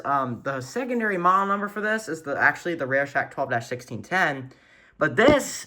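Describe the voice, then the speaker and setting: A man's voice: a little high, bright, forceful tone. A man giving a casual show-and-tell as a hobbyist host, rambling and correcting himself, recorded on a handheld camera in a room at home. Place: small furnished room at home, indoors. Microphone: handheld camera or phone, behind the lens.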